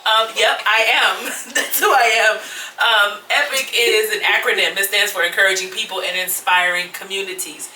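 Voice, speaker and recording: A woman's voice: mid-range voice, moderate pace, hardly any pauses, a little hiss or hum. A woman hosting a podcast, chatting casually and laughing often. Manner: laughs often, lively and playful. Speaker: podcast host. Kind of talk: casual group chat. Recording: roomy echo, mic at a distance.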